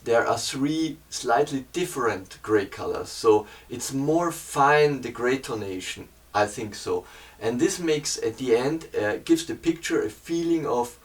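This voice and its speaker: German man explaining his photo workflow in careful, halting English, mid-pitched, recorded from a little way off the mic. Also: recorded from a distance. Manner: hesitant, searching for English words. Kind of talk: casual explanation to camera.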